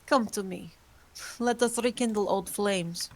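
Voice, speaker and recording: A woman's voice: mid-range voice, unhurried, a little mellow. A woman voicing a seductive female vampire who coaxes her progeny, in an online script table read.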